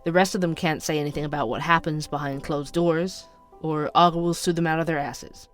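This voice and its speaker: A man's voice: on the high side, fairly even intonation, fairly quick, fairly bright tone, close to an everyday voice. Actor as a sardonic young male podcaster over music. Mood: suspicious, sardonic. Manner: wry, conspiratorial, conversational. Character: sardonic, suspicious young coffee-shop night worker turned podcaster. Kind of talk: first-person podcast narration in audio drama.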